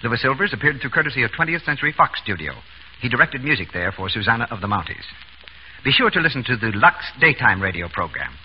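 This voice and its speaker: A male announcer reads scripted copy in a 1930s radio style. His pitch is medium, and the vintage broadcast sounds muffled with faint noise. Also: sing-song, fast.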